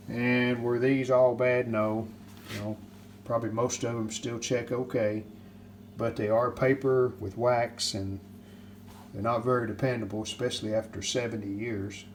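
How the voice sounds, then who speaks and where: A man with a mid-pitched voice hosts a casual repair walkthrough. He is plain-spoken and matter-of-fact, keeping his sentences short and clipped. There is a little background noise. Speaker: host of a vintage radio repair video. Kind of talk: casual hands-on restoration walkthrough. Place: indoors at home.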